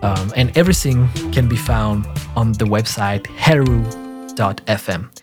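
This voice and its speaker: A man with a thick French accent hosting a solo podcast, talking in a relaxed, halting way with frequent restarts. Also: lowish voice, over music. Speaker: podcast host.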